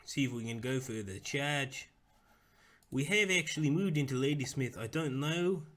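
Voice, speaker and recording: A young man streams a strategy game and rambles as he plays, greeting viewers and talking through each move. His voice sits at an ordinary middle pitch, and the talk is loose and unscripted. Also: normal speed, fairly bright tone. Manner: rambling, chats with viewers, talks through moves. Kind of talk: casual live-stream game commentary.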